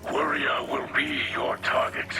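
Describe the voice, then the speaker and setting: An actor playing a solemn, devout alien elder in a video game, with a raspy, breathy growl and deliberate, formal phrasing. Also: male voice, medium pitch, level tone, music in the background, lightly acted. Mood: solemn, resolute. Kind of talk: dramatic video game acting.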